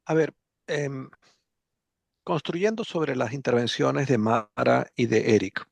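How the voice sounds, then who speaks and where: A man speaking as a book author on an online panel, measured and deliberate, also summing up a colleague's words for the audience. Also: mid-range voice, creaky, dry recording. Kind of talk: panel discussion remarks.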